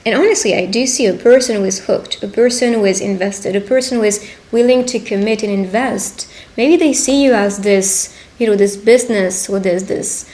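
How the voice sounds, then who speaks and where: Woman giving an unhurried tarot reading. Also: mid-range voice, a little mellow. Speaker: tarot reader hosting a roleplay. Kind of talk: intimate tarot reading addressed to the listener.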